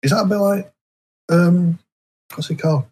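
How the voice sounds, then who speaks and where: A man chatting casually as an interview guest, speaking haltingly with hesitant, broken phrasing and repeated false starts. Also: sits high, darkish tone, slightly rough. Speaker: guest author.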